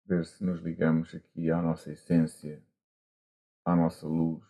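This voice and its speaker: A man with a European Portuguese accent, a monk guiding a meditation gently and haltingly, with long pauses, over a video call. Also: a bass voice, level tone. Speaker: Buddhist monk, meditation teacher. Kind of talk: guided meditation instruction. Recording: muffled, dull online call audio.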